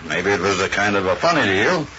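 An actor playing a plain-spoken Western ranch hand in an old radio drama, with deliberate, folksy cowboy phrasing. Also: male voice, fairly low pitch, big pitch swings, bright, forceful tone. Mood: confident, accusing. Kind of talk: 1930s-40s radio western acting. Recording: noisy dull old radio broadcast recording.

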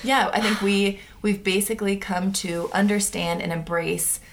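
A woman in her thirties co-hosting a casual talk show, animated and full of questions. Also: medium pitch, moderate pace. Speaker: podcast co-host. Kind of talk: casual conversational chat.

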